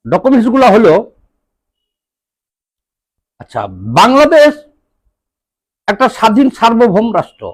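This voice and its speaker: High-voiced, animated Bangladeshi man commentating. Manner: deliberate, halting phrases with rhetorical questions. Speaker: political commentator. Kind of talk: political monologue to viewers.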